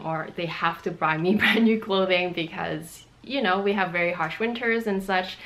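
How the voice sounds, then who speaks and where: A young woman from Montreal whose first language is Cantonese, chatting casually to camera in a bright room with lots of fillers and hand gestures. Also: lowish voice. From Canada (Montreal). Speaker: beauty and fashion vlogger. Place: bright bedroom indoors.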